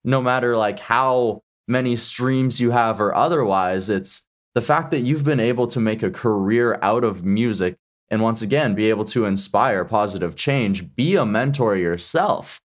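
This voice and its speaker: A man's voice: fairly low pitch, normal speed. A man interviewing someone in a casual conversational way, speaking in an affirming, appreciative manner.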